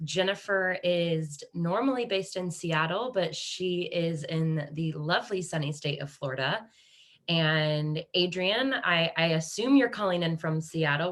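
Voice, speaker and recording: A woman with an American Midwest accent hosts an online panel over a video call. Her voice sits a little low, and she keeps an upbeat, encouraging tone, often restarting sentences mid-thought. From Kansas, USA. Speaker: webinar host. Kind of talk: hosting a live online panel.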